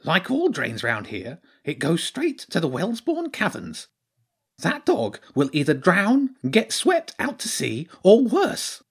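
A fussy, cautious little spiny creature from a storybook, voiced by a man, pitched high with big swoops. He frets and repeats himself, blunt and matter-of-fact about bad news. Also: wildly swooping pitch, measured pace, slightly rough, exaggerated voice. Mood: gloomy, warning.

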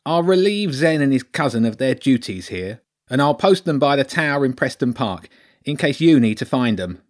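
A man performs an enormous lordly rat from a children's storybook, pitched high and swooping. He is pompous and greedy, with a grand, complaining way of speaking. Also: big pitch swings, moderate pace, natural voice. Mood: commanding. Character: huge pompous gluttonous rat lord, male.

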